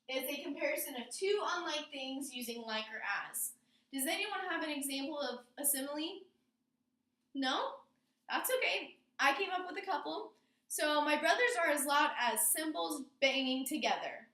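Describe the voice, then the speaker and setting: A woman teacher explaining a lesson to her pupils, often pausing to check in with questions. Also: high voice, lively intonation, bright, forceful tone. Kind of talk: classroom lesson on figurative language. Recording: roomy echo, distant microphone.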